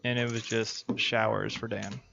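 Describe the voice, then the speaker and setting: A man's voice: fairly low pitch, level tone, normal speed, gravelly. A man commentating a live poker stream, calling hands and teasing his co-host with jokes. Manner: joking, teasing banter. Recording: dull stream audio with faint hiss.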